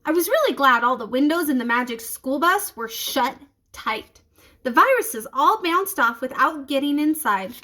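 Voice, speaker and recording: A woman's voice: high voice, expressive, normal speed, bright, forceful tone. A woman reading a children's book aloud, expressively and speaking directly to young listeners.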